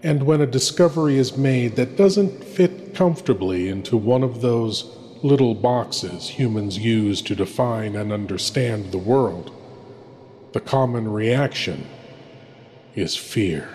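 A man puts on an eerie lord hosting a horror show, theatrical and courtly. He speaks very slowly and deliberately in a hushed manner, in a long reverberant hall with some background noise. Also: mid-range voice, animated. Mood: ominous. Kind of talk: scripted horror anthology introduction.

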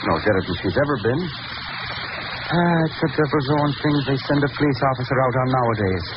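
An actor playing a grumbling, folksy police lieutenant with an Irish accent in an old radio drama. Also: male voice, mid-range voice, animated, fast talker, close to an everyday voice. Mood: dismissive, grumbling. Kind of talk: 1930s radio drama acting. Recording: muffled, noisy old radio recording.

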